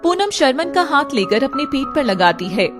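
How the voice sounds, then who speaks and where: High-pitched woman narrating a dramatic story. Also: very animated, normal speed, over music.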